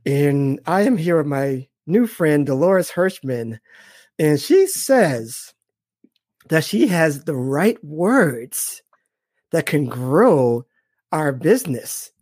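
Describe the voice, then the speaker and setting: A man's voice: on the high side, sing-song, takes it slowly, darkish tone, dry recording, clean studio sound. Upbeat man hosting a podcast. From Boston. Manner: upbeat, enthusiastic, repeats "awesome". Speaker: podcast host. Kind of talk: interview hosting.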